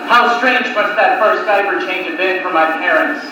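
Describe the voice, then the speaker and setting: Echoey, high-pitched man doing slow stand-up. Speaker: stand-up comedian. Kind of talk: live stand-up comedy set of one-liners. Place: live comedy venue. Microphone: recorded from a distance on cassette recorder. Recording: noisy cassette tape, echoey room, distant mic.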